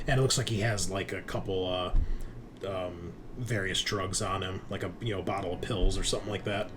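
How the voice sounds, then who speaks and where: A man narrating a tabletop game as game master in an informal, halting way. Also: deep voice, fairly even intonation. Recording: noisy room recording.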